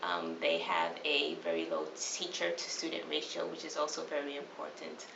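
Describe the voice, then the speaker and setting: Mom with a deep crackly voice, lots of um, dull noisy recording. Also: female voice, big pitch swings, normal speed, creaky, reverberant. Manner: hesitant, many fillers. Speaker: parent. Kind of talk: testimonial interview.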